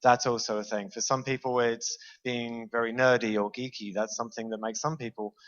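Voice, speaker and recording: A man's voice: mid-range voice, flat delivery, a little fast, fairly bright tone, lo-fi sound. A British man speaking from a stage in a large lecture hall, giving an easygoing, self-aware talk and putting questions to the audience. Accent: British English. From Britain. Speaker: conference speaker. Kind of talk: conference talk to a live audience.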